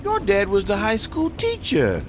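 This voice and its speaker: An actor playing a chatty, folksy, prying small-town cab driver in a radio drama. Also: male voice, high-pitched, wildly swooping pitch, a little slow, noisy background, exaggerated voice. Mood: chatty, nosy.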